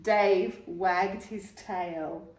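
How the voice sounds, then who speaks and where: A woman reading a children's picture book aloud in an animated way, with exclamations and asides to young listeners. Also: on the low side, extremely slow. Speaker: storytime reader. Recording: roomy, echoing room sound.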